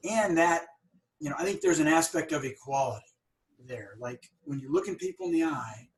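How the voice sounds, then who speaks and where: Middle-aged guy hosting a chat, stutters some, earnest, kinda high voice. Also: a little fast, low-quality recording. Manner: earnest, stammers and repeats words. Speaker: podcast host; dentist and practice owner. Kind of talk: conversational interview.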